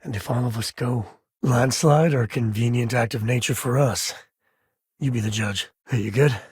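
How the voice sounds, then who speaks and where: An actor playing an easygoing, folksy space cowboy and devoted dad in a video game, with an American accent and a husky, grainy voice. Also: male voice, mid-range voice, normal speed, a little mellow, no room sound, studio quality. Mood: sincere. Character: easygoing wry space cowboy, devoted father, adult man. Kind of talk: video game character dialogue.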